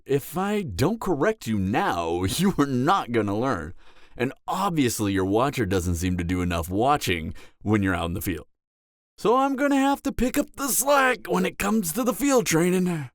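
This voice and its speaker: A man's voice: medium pitch, no room sound, clean studio sound. A man playing a cocky, flirtatious vampire mentor in an audio roleplay, lecturing in a teasing, sardonic way. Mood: teasing, critical. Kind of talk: dramatic audio roleplay acting.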